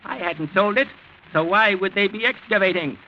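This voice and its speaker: Man playing a high-voiced, feisty old grandfather. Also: slight background noise, natural voice. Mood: commanding, proud. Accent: American. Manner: brisk, commanding. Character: shrewd, feisty rich old grandfather, police commission president. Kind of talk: 1940s radio acting. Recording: muffled 1940s radio recording.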